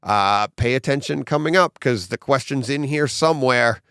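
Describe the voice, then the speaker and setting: Animated middle-aged man, slightly hoarse. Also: medium pitch, normal speed, no echo, clean studio sound. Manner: animated storyteller, acts out quotes. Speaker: podcast host. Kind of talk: casual home theater chat. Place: home theater room.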